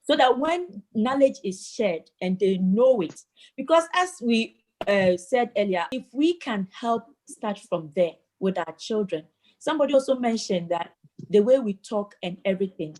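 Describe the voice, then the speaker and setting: A woman's voice: medium pitch, lively intonation, normal speed, darkish tone. A woman from Ghana speaking earnestly in a community discussion over a video call, often repeating words as she starts her sentences. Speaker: participant moderating a community discussion. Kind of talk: community meeting talk.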